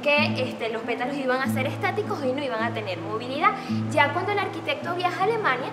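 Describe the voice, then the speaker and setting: High-voiced Venezuelan tour guide woman. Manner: explaining with rhetorical questions. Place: indoor exhibition hall. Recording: echoey hall with music.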